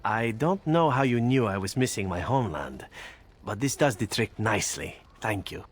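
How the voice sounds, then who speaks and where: A man's voice: fairly low pitch, lively intonation, normal speed, breathy edge. An actor playing a roguish, playful man in a video game, speaking in a suave, sensual, savouring manner. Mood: savouring, grateful. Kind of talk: video game dramatic acting.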